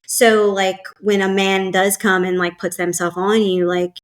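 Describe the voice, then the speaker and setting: A woman's voice: mid-range voice, flat delivery, measured pace. Chatty woman hosting a podcast. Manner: chatty, lots of fillers and asides. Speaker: podcast host. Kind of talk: casual conversation.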